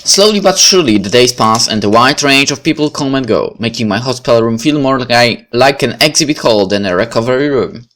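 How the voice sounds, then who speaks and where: A man's voice: mid-range voice, expressive, a little fast, few pauses, fairly bright tone. A man narrating a visual novel as he plays, reading the story aloud.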